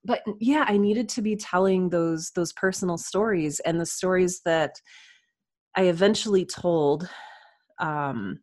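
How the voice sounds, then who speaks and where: A woman's voice: lowish voice, no echo, studio quality. A woman speaking as a writer guest in a conversational interview, candid and self-deprecating.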